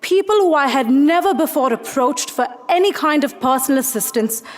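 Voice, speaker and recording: High-voiced young woman giving a commencement speech. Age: young adult. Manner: earnest, measured, with rhetorical questions. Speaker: graduating student speaker.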